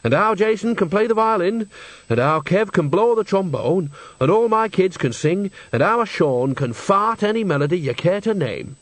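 Brief: male narrator as earthy storybook granny, chatty, nosy and blunt, raised lively pitch. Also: normal speed, no room sound. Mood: chummy. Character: nosy, chatty, earthy old country woman.

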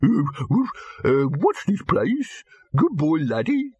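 Slow, dopey, high-pitched eager dog. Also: male voice, huge pitch swings, warm tone, husky, dry recording, highs cut off, full character voice. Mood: baffled, eager. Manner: slow, baffled, simple-minded. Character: handsome, dim-witted, eager young pedigree dog.

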